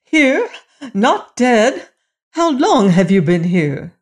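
A woman's voice: medium pitch, takes it slowly, natural voice. A woman voicing a guilt-stricken, fearful wicked queen and stepmother in a storybook, moaning and lamenting in exclamations. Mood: shocked.

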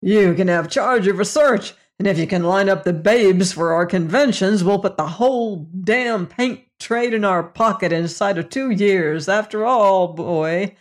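A woman reading aloud and voicing a brash, lecherous older male company boss in a loud, hearty, backslapping manner. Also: medium pitch, natural voice.

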